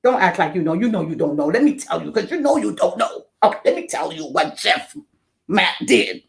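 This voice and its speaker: A woman's voice: lowish voice, very animated, brisk, creaky. A woman with a Jamaican accent hosting a live stream in a playful, teasing, chatty way, cheering on the audience. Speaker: event host.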